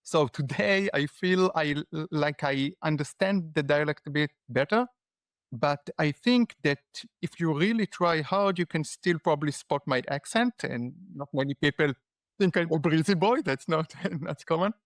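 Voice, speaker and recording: A man with an Israeli accent, a guest giving relaxed, reflective interview answers with frequent fillers. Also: fairly high pitch, normal speed, no room sound, studio quality. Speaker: guest, startup founder and lecturer.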